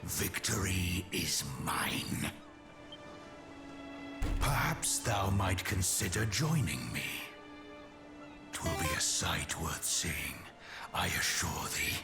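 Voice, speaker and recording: An actor playing a menacing, grandiose dragon lord in a fantasy game, with pompous, archaic, commanding speech. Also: male voice, low-pitched, lively intonation, slow pace, vocal fry, pauses often, over music, exaggerated voice. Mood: gloating. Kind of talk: theatrical fantasy game acting.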